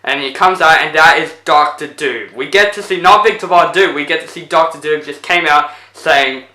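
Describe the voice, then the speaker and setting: A man's voice: fairly high pitch, moderate pace, sharp tone, roomy sound. A young man recording a casual movie review video, rambling through a retelling with lots of fillers, his voice getting tired. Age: young adult. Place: indoors in front of a plain blue backdrop.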